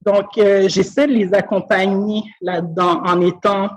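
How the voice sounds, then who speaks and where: A woman's voice: medium pitch, level tone, unhurried, darkish tone, rough recording. A woman from Quebec with a Québécois French accent, a school speech-language pathologist talking through her work thoughtfully and earnestly, with many fillers and restarts, on a video call from a school office. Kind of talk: conversational interview.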